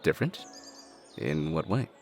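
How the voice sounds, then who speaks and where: A man playing a level-headed, slightly dorky adult brother in an audio drama, talking in a measured, wry way. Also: rumbling low, animated, a little mellow, soft background music, exaggerated voice. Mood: reasoning.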